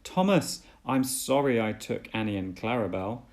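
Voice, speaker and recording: A man's voice: on the low side, expressive, normal speed, darkish tone, close to an everyday voice. A man voicing a kind, earnest female steam engine in a children's storybook, speaking politely and sincerely. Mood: grateful, sorry.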